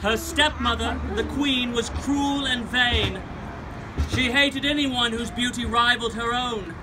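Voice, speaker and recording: A man gives a dramatic storybook reading at an unhurried pace. His phrasing is deliberate and theatrical, projected to a group. He is recorded from a few metres away outdoors, with clear traffic noise around him. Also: extremely high pitch, steady tone. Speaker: storybook reader for a drama club. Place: outdoor patio beside a road, seated among a group. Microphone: phone camera a few metres away. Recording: noisy outdoor patio with traffic. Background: cars and street noise.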